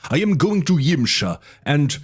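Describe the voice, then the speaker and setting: A man's voice: on the high side, big pitch swings, a little slow, breathy edge, soft background music, pitched above the performer's own voice, full character voice. A man reading aloud and voicing a blunt, fierce barbarian warrior who roars and bellows at a crowd. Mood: roaring exasperated. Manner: roaring, bellowing commands.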